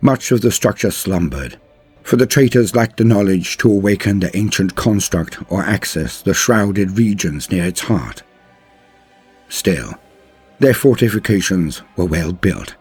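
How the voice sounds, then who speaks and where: A man's voice: sits low, lively intonation, unhurried, a little mellow, husky, faint music behind. A man giving a dramatic scripted narration of grim fantasy war lore, with a solemn, theatrical and emphatic delivery.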